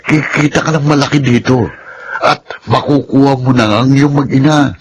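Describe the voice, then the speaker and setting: A man's voice: medium pitch, steady tone, moderate pace, a little hiss or hum, natural voice. A man playing a coaxing, pushy ex-convict friend who tempts his buddy back to crime in a radio drama. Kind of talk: classic radio drama acting.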